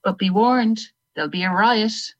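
An older Irish woman, once a public hangman, tells of her work in a flat, unsentimental voice, as a woman reads her part aloud. She speaks at a middling pitch with a plain, dry, matter-of-fact manner. Nothing she says seems to trouble her. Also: moderate pace, highs cut off, natural voice. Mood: blunt. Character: old Irish woman hangman, grim and unrepentant.

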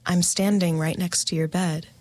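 A woman's voice: lowish voice, steady tone, normal speed, a little mellow, no room sound, natural voice. Woman voicing a creeping, menacing ghostly bedside voice. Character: creeping demonic bedside voice, slow menacing stalker.

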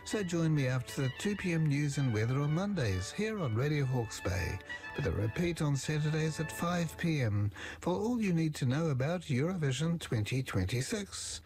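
A man's voice: a little high, very animated, moderate pace. A man hosting a community radio show, reading a scripted promo in an upbeat announcing manner. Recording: music bed behind voice.

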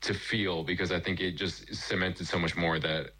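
A man with an American accent, a chef answering interview questions in a relaxed, conversational way. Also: low-pitched, normal speed, low-quality recording. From New York. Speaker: guest, chef.

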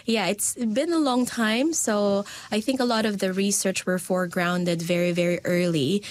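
A woman's voice: medium pitch, normal speed. A woman from the Philippines, a designer and lecturer answering interview questions in an earnest, searching way full of fillers and repeats.

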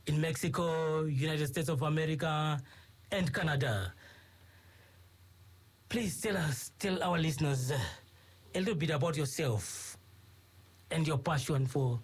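A man's voice: fairly high pitch, a little slow, long pauses, slightly rough. A man hosting a radio interview, speaking formally and courteously, with many fillers and repeated phrases. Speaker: radio host. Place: radio studio.

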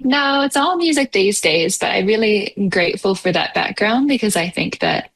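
A woman's voice: mid-range voice, animated, normal speed, vocal fry, a bit bright, low-quality recording. A young woman musician from New Zealand with a mixed New Zealand and Canadian accent, chatting casually in an interview with lots of fillers and laughs. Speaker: musician guest.

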